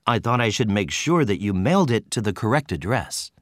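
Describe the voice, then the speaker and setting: Man acting casual exam dialogues, lively pitch. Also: brisk, no room sound, studio quality. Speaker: student in scripted dialogue. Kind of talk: scripted dialogue reading.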